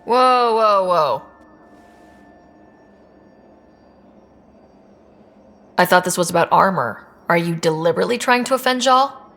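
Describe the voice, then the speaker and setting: Creaky-voiced, curious space explorer. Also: female voice, lowish voice, lively intonation, a little fast, fairly bright tone, big-room sound, faint music behind. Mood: puzzled. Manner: casual, inquisitive. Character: curious, easygoing young woman space explorer leader. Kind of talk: video game dialogue acting.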